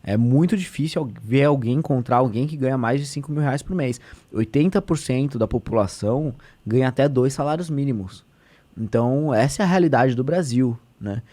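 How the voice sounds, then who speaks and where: A man's voice: medium pitch, brisk, warm tone. A man, a state deputy talking as a podcast guest, explaining in an earnest, conversational way with many fillers and restarts.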